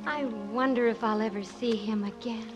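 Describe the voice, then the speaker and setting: A woman's voice: on the high side, fairly even intonation, normal speed, highs cut off, loud music behind the voice, lightly acted. An actress in a 1950 American radio musical comedy playing a sweet, wistful, wide-eyed young working girl. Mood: wistful, eager. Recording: old 1950 radio broadcast, loud orchestra.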